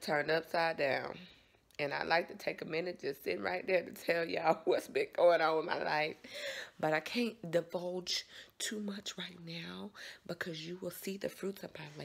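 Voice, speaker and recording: An American woman with a scratchy, raspy morning voice, chatting casually to her vlog viewers in a folksy, repetitive way. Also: lowish voice. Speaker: vlogger.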